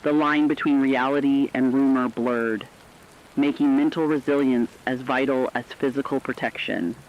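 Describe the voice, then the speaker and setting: A man with a mid-pitched voice reads dramatic scripted narration in a serious, measured manner. The sound is muffled and lo-fi, with rain behind the voice. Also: fairly even intonation, a little hiss or hum. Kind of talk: scripted dramatic documentary-style narration of an apocalypse story.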